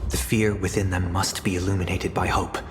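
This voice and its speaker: A man playing a courteous, solemn gentleman who speaks gently and formally in a video game. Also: low-pitched, flat delivery, lightly acted. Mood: solemn, encouraging. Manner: formal, measured phrasing. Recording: long reverb with music behind.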